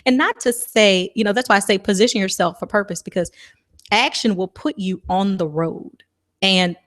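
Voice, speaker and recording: A woman's voice: medium pitch, normal speed, fairly bright tone. A woman giving a motivational talk on a telephone conference call, emphatic and encouraging, often posing rhetorical questions. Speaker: motivational speaker and author.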